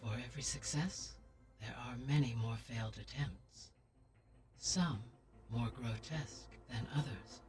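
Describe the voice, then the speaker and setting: An actor voicing a calm artificial intelligence that advises the heroine in a formal, measured way, heard slightly distant over game music. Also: male voice, mid-range voice, close to an everyday voice. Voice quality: measured, formal, even delivery. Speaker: game character.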